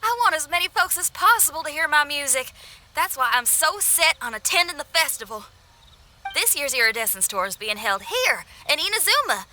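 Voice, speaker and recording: A woman playing a spirited, tenacious young female rock musician in a video game, with a folksy, expressive way of talking. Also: high voice, a little fast, a little hiss or hum, exaggerated voice. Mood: excited. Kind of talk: video game cutscene voice acting. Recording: faint music behind.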